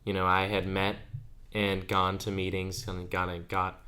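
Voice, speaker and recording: This is a very deep-voiced man of eighteen co-hosting a casual podcast. He talks in a loose, chatty way and often laughs while telling his stories. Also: completely flat delivery, a little slow, sharp tone. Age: under 20. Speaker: podcast co-host. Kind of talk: casual chat.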